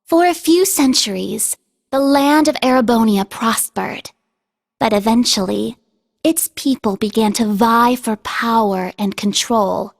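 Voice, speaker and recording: A woman acting in a video game as a solemn storyteller who narrates an ancient legend in measured phrases. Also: on the high side, vocal fry. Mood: solemn, grave.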